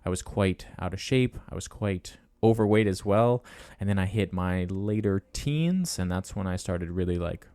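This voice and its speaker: A man's voice: low voice, moderate pace, hardly any pauses, warm tone, no echo. A man in his twenties hosting a casual fitness podcast, chatty and laughing as he tells stories. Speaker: podcast host and coach.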